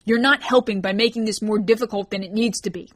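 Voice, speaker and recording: A woman's voice: medium pitch, fairly even intonation, quick pace, dry recording, pitched above the performer's own voice, close to an everyday voice. A woman voicing a stern, impatient young man who speaks in clipped commands. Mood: angry commanding.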